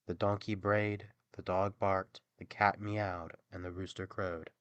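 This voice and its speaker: A man narrating a scripted fairy tale as an expressive, theatrical bedtime storyteller. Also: low-pitched, measured pace, smooth, no echo, lo-fi sound.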